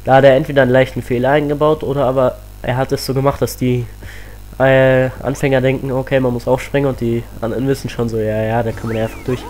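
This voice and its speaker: Teen male let's-player, slightly stuffy from a cold, casual and excitable, mid-pitched, home mic with faint game music. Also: fast. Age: teens. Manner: chatty, excitable, rambling asides. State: has a cold. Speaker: let's play host.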